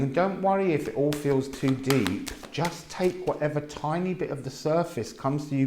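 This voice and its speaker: A man giving a casual spoken card reading, musing slowly with many pauses. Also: medium pitch, darkish tone. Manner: slow, musing, drawn-out delivery with many pauses. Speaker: tarot and astrology reader. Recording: echoey room with faint noise.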